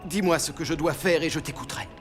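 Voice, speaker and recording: An actor playing an impulsive son of a biblical patriarch, theatrical and expressive, in a cartoon dub. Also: male voice, fairly high pitch, fast, soft background music, lightly acted. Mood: remorseful. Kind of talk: dubbed biblical cartoon acting.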